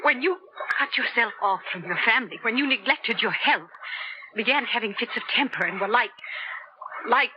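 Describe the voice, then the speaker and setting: Through a dull, noisy old radio recording comes a woman acting an anguished wife. Her high voice rises and falls dramatically as she plays it earnest and emotional, in 1940s melodrama style. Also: lively intonation, normal speed, lightly acted. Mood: anguished, pleading. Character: anguished, pleading wife of a scientist. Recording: muffled, noisy vintage 1940s radio broadcast.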